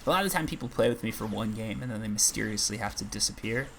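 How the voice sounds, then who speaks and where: A man hosting a gaming channel, chatting casually and rambling with self-deprecating asides as he plays, his voice a little raspy. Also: lowish voice, animated, quick pace, a bit bright, a little hiss or hum. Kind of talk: casual gameplay commentary.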